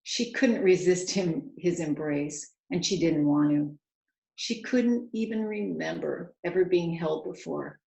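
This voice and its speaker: A woman narrates a storybook with earnest, dramatic feeling. She takes her time, in a slightly low voice with a gravelly creak. The room adds echo. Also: unhurried, darkish tone. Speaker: children's author reading aloud. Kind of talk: expressive storybook read-aloud narration. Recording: roomy, echoing home recording.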